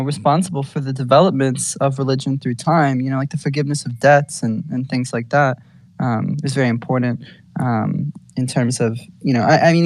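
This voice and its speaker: A man in a casual online group chat talks haltingly, leaning on "um" and "you know" at every turn. His voice sits at an ordinary pitch and sounds dry, with no room echo. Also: darkish tone. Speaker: participant. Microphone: online audio chat.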